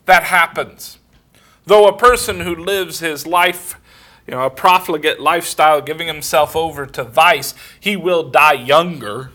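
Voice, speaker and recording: A man in his forties preaching a sermon fervently, building up as he speaks. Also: pushed and bright. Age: 40s. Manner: fervent, building in pitch and volume.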